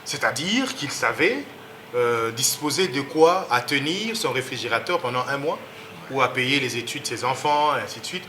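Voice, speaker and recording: A man's voice: medium pitch, animated, moderate pace, bright, forceful tone, a little hiss or hum. A man hosting a TV talk show, speaking emphatically and pushing up on key words, with hesitant fillers. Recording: roomy studio echo.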